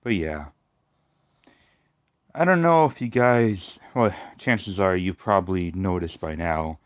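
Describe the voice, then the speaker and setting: A man with a noticeable lateral lisp talks in a low, rambling voice and keeps restarting his sentences. The recording is dry and a bit dull. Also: expressive, dry recording. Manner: rambling, halting, many restarts and fillers. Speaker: YouTuber. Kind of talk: casual personal monologue.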